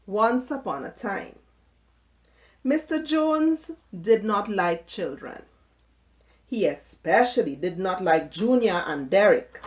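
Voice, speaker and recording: A woman reads a children's story aloud at a slow, unhurried pace. Her pitch sits in an average range for a woman. The delivery is expressive and measured, shaped like dramatic storytelling. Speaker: story reader.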